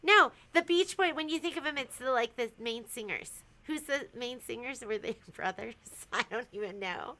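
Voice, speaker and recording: A woman's voice: fairly high pitch, moderate pace, pushed and bright. A woman hosting a casual livestream from a sewing shop, chatty and teasing, giggling as she shows products.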